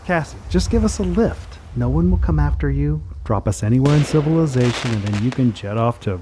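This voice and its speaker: A man playing a pompous, whiny secret agent in an audio drama, with grandiose, drawn-out delivery. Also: medium pitch, lively intonation, close to an everyday voice. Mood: whiny pleading. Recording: echoing hall with vehicle noise.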